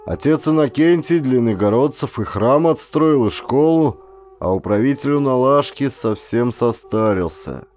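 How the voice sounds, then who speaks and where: A man's voice: mid-range voice, lively intonation, moderate pace, darkish tone, lightly acted. A man voicing a stern, irritable but shrewd aging colonial governor who speaks in a commanding, old-fashioned official way for an audiobook. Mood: decisive.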